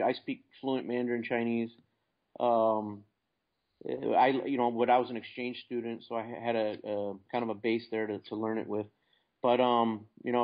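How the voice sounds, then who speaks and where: Man, American accent, informal plain-spoken interview guest, dull lo-fi call audio. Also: medium pitch. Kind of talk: casual interview talk.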